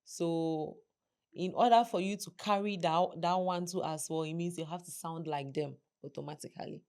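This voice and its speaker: A woman's voice: fairly low pitch, steady tone, normal speed, a little mellow, smooth. A woman, a panel guest sharing her view tentatively as she thinks it through. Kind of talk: casual panel debate. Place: studio with woven cloth backdrop. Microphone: studio mic on a stand.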